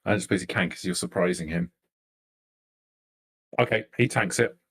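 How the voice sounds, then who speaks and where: Male game master, lower pitch, halting improvised narration, repeated phrases. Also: fairly bright tone.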